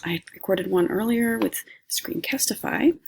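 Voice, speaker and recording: A woman's voice: mid-range voice, normal speed, creaky, slightly rough, rough recording. A middle-aged woman giving a casual, unscripted review in a chatty, rambling way, as if thinking aloud. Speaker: homeschooling mother reviewing curriculum. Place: indoors, recording a screencast at a computer.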